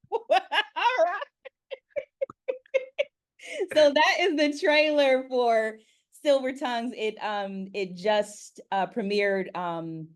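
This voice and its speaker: A woman hosting a casual interview, chatting in an animated, expressive way. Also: fairly high pitch, extremely slow, long pauses. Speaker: interview host. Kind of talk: casual chat.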